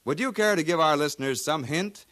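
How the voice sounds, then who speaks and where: An actor playing an eager, courteous radio correspondent with earnest, polished delivery in a 1950s radio drama. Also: male voice, on the high side, brisk, pushed and bright, no room sound. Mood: eager, curious.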